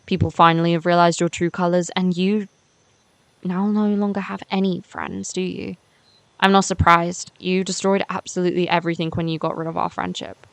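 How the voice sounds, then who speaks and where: A woman's voice: lowish voice, little pitch movement, moderate pace, creaky, dry recording. A young woman playing a hurt, unforgiving teenage schoolgirl in an amateur voiced game series, speaking in an emphatic conversational way. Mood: hurt, accusing.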